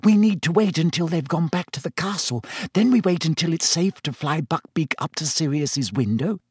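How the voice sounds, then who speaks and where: A man's voice: on the high side, animated, normal speed, husky, no room sound, studio quality, voice pushed up. A man voicing an anxious teenage girl in an audiobook, urgent and worried. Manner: hushed, worried.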